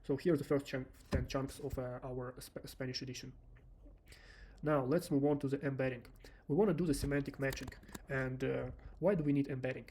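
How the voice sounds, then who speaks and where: Russian-accented guy in his thirties, mid pitch, patient tutorial delivery, lots of "uh" pauses, desk setup at home. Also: fairly even intonation, mellow, a little hiss or hum. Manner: unhurried, explanatory, frequent "uh" fillers. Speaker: software developer presenting a demo. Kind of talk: screen-recorded coding walkthrough.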